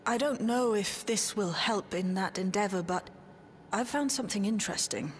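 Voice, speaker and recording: An actress playing a confident, clever technical expert in a video game, articulate and matter-of-fact. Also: female voice, medium pitch, normal speed, slight background noise, natural voice. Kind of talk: dramatic video game voice acting.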